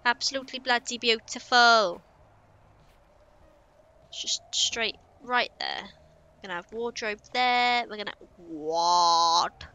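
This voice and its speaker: A woman's voice: a little high, takes it slowly, pauses often, sharp tone, clean tone. A young woman, chatting casually to herself while playing a building game on stream. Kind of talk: casual gameplay commentary.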